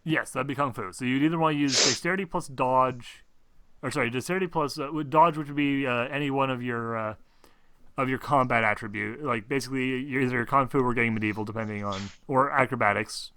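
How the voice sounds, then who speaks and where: A man's voice: medium pitch, a little fast, pushed and bright, a little hiss or hum. A man acting as game master in a tabletop roleplaying session, casually narrating and explaining rules.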